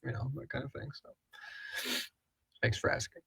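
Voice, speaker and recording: A man's voice: mid-range voice, fairly even intonation, normal speed, gravelly, a bit bright, husky, no room sound, poor audio. A man hosting a podcast, chatting casually and thinking aloud as he answers listener questions. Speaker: podcast host and creator. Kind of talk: casual live Q&A chat.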